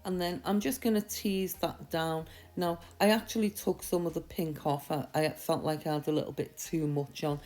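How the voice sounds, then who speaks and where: A woman with a slightly croaky voice from a mild sore throat, giving a chatty, reassuring, step-by-step tutorial. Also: low voice, fairly even intonation, normal speed, few pauses, a little mellow. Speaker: nail art tutorial host. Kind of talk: casual step-by-step tutorial voice-over.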